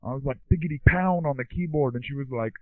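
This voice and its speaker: A man playing a dim, excitable young slacker who rambles through slangy stories in a comic monologue. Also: medium pitch, fast, no room sound, lightly acted. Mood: baffled, excited. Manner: slangy, rambling surfer-dude talk. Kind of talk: comic monologue, casual storytelling. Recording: thin phone-like lo-fi sound.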